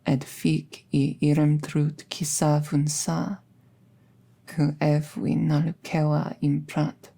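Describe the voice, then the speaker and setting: A woman plays an apprentice witch in an intimate audio drama. Her voice is mellow and soft, with a slow, careful delivery and very little rise or fall. She comes across as gentle and reassuring. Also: low-pitched, gravelly, full character voice.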